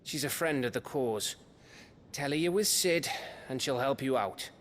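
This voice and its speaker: A man playing a gruff, loyal, soft-hearted scout in a video game, blunt and colloquial. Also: medium pitch, animated, normal speed, natural voice. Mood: cautioning. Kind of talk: dramatic game voice acting. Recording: noisy ambience behind the voice.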